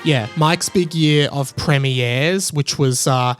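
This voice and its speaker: A man's voice: on the high side, slow pace, a bit bright, soft background music. A young Australian man chatting casually and enthusiastically as a solo podcast host. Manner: enthusiastic, effusive.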